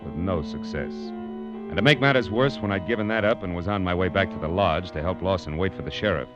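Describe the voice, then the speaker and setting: A man acting a hard-boiled American private eye with a low voice and a rapid clip. He is dry, slangy and sardonic, in classic 1940s radio detective style, on a dull vintage recording. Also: music in the background, lightly acted. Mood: wry narration. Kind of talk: 1940s radio detective drama acting with first-person narration.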